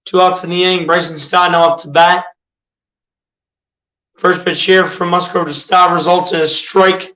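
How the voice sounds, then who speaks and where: A young man with a slightly rough voice doing amateur play-by-play commentary on a livestream, chatting with viewers between pitches. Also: level tone, normal speed, pauses often, sharp tone, reverberant, low-quality recording. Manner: chats with viewers between calls.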